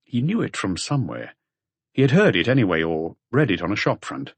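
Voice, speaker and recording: A man's voice: lowish voice, big pitch swings, fairly quick, breathy edge, no echo. A man reading scripted crime fiction as an audiobook narrator.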